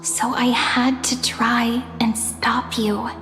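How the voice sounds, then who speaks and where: A woman voicing a gentle, sweet, mysterious young guardian in an anime game, soft-spoken, measured and sincere. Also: a little high, level tone. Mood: solemn warning. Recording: echoey long reverb, faint music behind.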